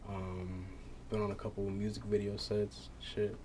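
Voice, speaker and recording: A young man from Massachusetts talks in a relaxed, rambling way as a guest singer on a casual podcast. His voice sits fairly low. There is faint background noise. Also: moderate pace. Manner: laid-back, lots of filler words. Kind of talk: casual chat.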